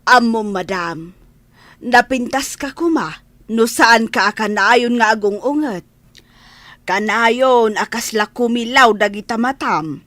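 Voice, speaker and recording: A woman's voice: fairly high pitch, slow pace, a bit bright, dry recording. A woman with a Filipino Ilocano accent playing a cheeky, teasing household servant in a comedy radio drama.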